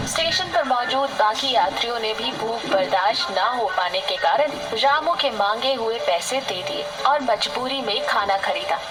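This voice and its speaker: A woman's voice: a little high, rough recording. A woman reading scripted story narration as the narrator of a moral tale.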